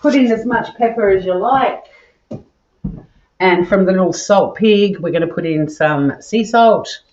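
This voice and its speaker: A woman with a nasal, fairly monotone voice, chatting casually while demonstrating a recipe to live viewers in her home kitchen. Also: medium pitch, a little slow, dull audio. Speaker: cooking show host.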